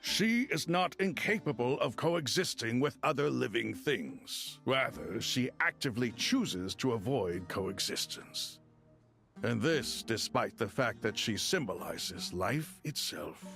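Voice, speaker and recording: A man playing a solemn, learned desert god in a video game, speaking formally in a gravelly, measured way. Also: mid-range voice, expressive, fairly bright tone, faint music behind, exaggerated voice. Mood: wry, measured. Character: solemn, learned male desert god loyal to his king.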